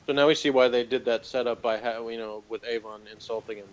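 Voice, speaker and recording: A man chatting casually as a review co-host, speaking in a hesitant, halting way. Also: mid-range voice, fairly even intonation, rough recording. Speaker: podcast co-host reviewing audio dramas.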